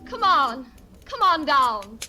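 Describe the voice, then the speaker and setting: An actress playing a bold, scornful woman around thirty, brisk and mocking, in a 1940s radio drama. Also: high voice, very animated, sharp tone, exaggerated voice. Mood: scornful, commanding. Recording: very noisy vintage radio recording.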